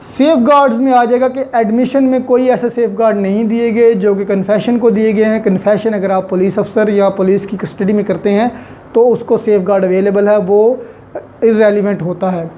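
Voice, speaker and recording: A woman's voice: medium pitch, fairly even intonation, normal speed, warm tone, slight background noise. A woman giving an explanatory lecture in a didactic way, repeating key phrases for emphasis and mixing in English terms. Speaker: law lecturer. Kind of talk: explanatory lecture, Urdu-Hindi mixed with English legal terms.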